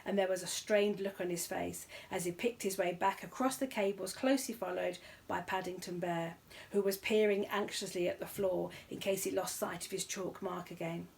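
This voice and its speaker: A woman's voice: lowish voice, fairly even intonation, moderate pace, creaky. A woman reading a children's story aloud as storyteller, in scripted narration.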